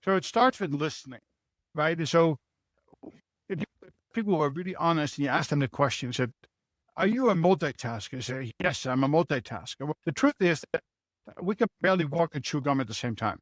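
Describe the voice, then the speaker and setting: A man's voice: on the high side, lively intonation, brisk, dry recording, studio quality. A man with a Dutch accent answering interview questions as a leadership mentor, explaining earnestly and often adding a rhetorical "right?". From Netherlands.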